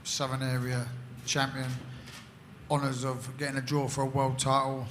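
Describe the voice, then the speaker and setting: London fighter, flat halting self-introduction. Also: male voice, medium pitch, a little hiss or hum. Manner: plain, halting, repeats words. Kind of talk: self-introduction at a press conference.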